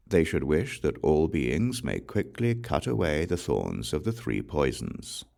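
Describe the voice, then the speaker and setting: A man reading Buddhist scripture as an audiobook in a solemn, measured, formal way. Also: rumbling low, creaky, darkish tone. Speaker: audiobook reader.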